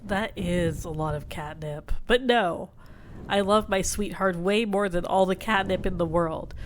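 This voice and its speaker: A woman's voice: on the low side, a little slow, a little hiss or hum, close to an everyday voice. A woman playing a jealous, obsessive neko in an ASMR roleplay, smug and catty, sweetly possessive. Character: jealous, snide, obsessive cat person rival.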